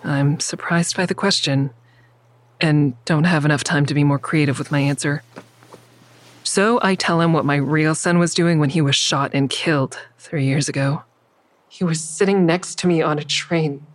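A woman's voice: sits low, steady tone, moderate pace, lightly acted. Woman acting a guarded, defiant mother. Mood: guarded, uneasy. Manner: wary, stubborn, confiding in narration. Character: guarded, defiant grieving mother narrating her risky investigation. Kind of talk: first-person audio drama narration and dialogue.

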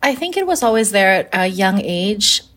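A young woman from Malaysia talks about her work in a relaxed, reflective way. She stops and restarts often, feeling for the right words, at an ordinary middle pitch. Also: moderate pace. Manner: thoughtful, many fillers and restarts. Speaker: fashion designer guest. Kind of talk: casual interview answers.

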